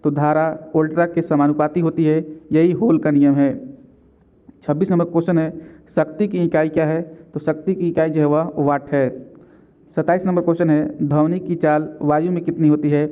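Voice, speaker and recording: A male tutor with a slightly high, level voice works through quiz questions in a steady question-then-answer rhythm. The recording is thin and tinny, like a phone line. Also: normal speed. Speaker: exam-prep tutor.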